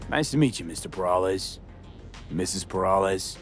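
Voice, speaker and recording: A man's voice: sits low, animated, normal speed, faint music behind. A man playing a blunt, skeptical young streetwise mercenary with a casual, cool manner in a video game. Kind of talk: cinematic video game acting.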